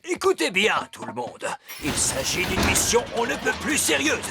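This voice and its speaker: A man's voice: high voice, wildly swooping pitch, moderate pace, creaky, over loud music, heavily acted. A man voicing a wise old male elf in a cartoon, stern and proud, with an emphatic, officious delivery. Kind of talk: French cartoon dub acting.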